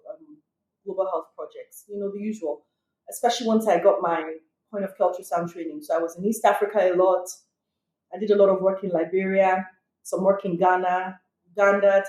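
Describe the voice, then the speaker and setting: A woman's voice: medium pitch, fairly even intonation, a little fast, a little mellow. A middle-aged woman, an emergency physician, answering interview questions conversationally with light laughs and fillers. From Nigeria. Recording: echoey room.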